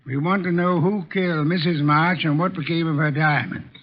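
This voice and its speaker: Man playing grand pompous detective, raised pitch, deliberate theatrical phrasing, vintage radio drama, dull sound. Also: steady tone, close to an everyday voice. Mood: commanding. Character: pompous, imperious, brilliant large male detective. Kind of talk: 1940s-50s radio acting. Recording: muffled old radio recording.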